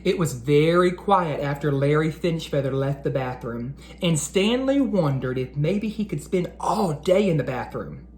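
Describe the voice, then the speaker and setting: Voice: medium pitch, expressive, measured pace, few pauses, darkish tone. A read-aloud host narrating storybooks and talking to children in an encouraging, teacherly way.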